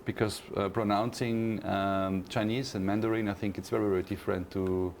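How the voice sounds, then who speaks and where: A fairly low-voiced man from Austria speaks English with an Austrian German accent, his voice carrying a gravelly fry. He answers interview questions thoughtfully, pausing on fillers and restarting phrases. A faint hiss sits under the recording. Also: little pitch movement, darkish tone. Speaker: university lecturer, interview guest.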